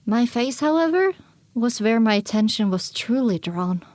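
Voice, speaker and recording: A woman plays a young filly pony narrating her own journey in a dramatic audiobook. The voice is fairly high and recorded very dry, with a reflective, scripted delivery. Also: lively intonation, normal speed, darkish tone, dry recording, close to an everyday voice. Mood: reflective, somber. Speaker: first-person narrator.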